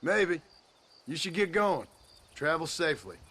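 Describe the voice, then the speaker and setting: A man playing a gruff, weary, sardonic American cowboy in a video game, laconic and dry, with an American accent. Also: fairly high pitch, big pitch swings, unhurried, fairly bright tone, a little hiss or hum, heavily acted. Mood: resigned. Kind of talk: video game cutscene acting.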